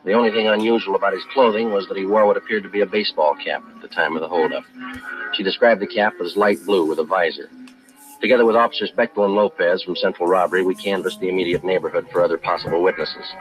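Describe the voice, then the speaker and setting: A man playing a stoic American police detective, narrating in a clipped, deadpan way in 1950s radio drama style. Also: deep voice, fast, lightly acted, rough recording. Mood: matter-of-fact. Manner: matter-of-fact, deadpan, clipped. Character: stoic, businesslike male police detective sergeant. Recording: vintage 1950s radio broadcast with music.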